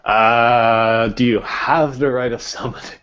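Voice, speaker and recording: A man acts as game master in a casual roleplaying session. He improvises in a halting way, searching for words, at a middling pitch. The audio is dull and lo-fi. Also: fairly even intonation, fairly bright tone, smooth. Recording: dull, lo-fi voice recording.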